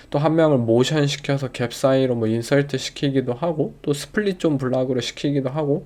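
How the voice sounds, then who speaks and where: A man with a congested, slightly hoarse voice from a cold, giving analytical sports review commentary as a host, explaining things with English jargon mixed in. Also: mid-range voice, a little mellow. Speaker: podcast host analysing football games.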